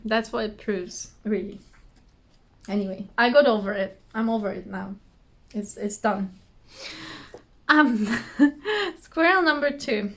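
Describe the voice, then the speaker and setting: A woman with a slightly hoarse voice, chatting casually to camera at home in a rambling, giggly, self-deprecating way. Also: a little high, a bit bright. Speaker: cross-stitch vlogger. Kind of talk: casual chatty craft vlog. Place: indoors at home, trees outside behind her.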